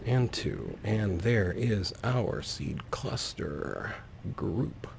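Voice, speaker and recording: A man with a lowish, creaky voice gives casual gaming commentary. He thinks aloud playfully and takes his time, with faint noise underneath. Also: lively intonation. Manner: unhurried, thinking aloud, playfully addresses objects. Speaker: gaming video host. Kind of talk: casual let's play commentary.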